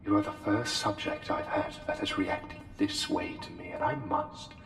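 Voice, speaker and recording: A man voicing an otherworldly, courtly plague doctor in an intimate ASMR roleplay, speaking formally and deliberately with hesitant pauses. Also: fairly low pitch, steady tone, lightly acted. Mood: intent, reassuring. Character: courtly, intense male plague doctor, an otherworldly anomalous being. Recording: echoey hall reverb, music behind. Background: music.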